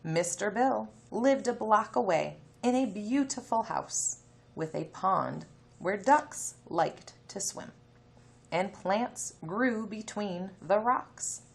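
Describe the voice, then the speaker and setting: A woman's voice: medium pitch, lively intonation, takes it slowly, vocal fry. A woman teacher giving a reading lesson, explaining instructions to children, sounding out letters and reading a passage aloud. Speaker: elementary teacher.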